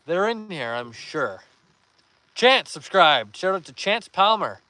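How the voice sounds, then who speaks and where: A man's voice: sits high, big pitch swings, slow, dry recording, rough recording. Easygoing young man hosting a fishing stream. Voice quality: relaxing voice. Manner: upbeat shout-outs to viewers, easygoing. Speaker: fishing livestream host. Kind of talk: casual live-stream chat, reading comments aloud. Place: outdoors by the water, fishing.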